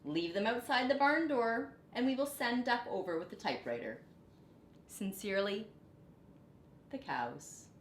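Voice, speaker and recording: Storybook cow played by a woman: courteous yet firm, formal, melodic swings, measured pace in an echoey room. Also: fairly high pitch, very animated. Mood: polite, firm. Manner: deliberate, formal letter-reading. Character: polite but firm cows writing demands. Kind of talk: picture-book read-aloud. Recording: roomy echo, background noise.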